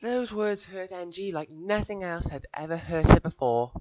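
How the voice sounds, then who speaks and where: A man tells a dramatic story in the first person. His voice sits a little high for a man, and he delivers it earnestly, as if confiding in an audience. The recording is somewhat dull and lo-fi. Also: moderate pace, a little mellow. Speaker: fan fiction reader.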